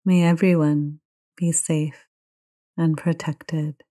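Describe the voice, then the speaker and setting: A woman leading a guided meditation in a gentle, soothing, unhurried manner. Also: low voice, level tone. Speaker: meditation teacher.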